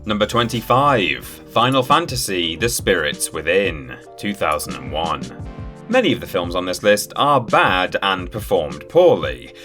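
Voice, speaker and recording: A man's voice: low voice, a bit bright. A man presenting a ranked list as scripted comedic narration, with a dry, sardonic delivery. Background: background music.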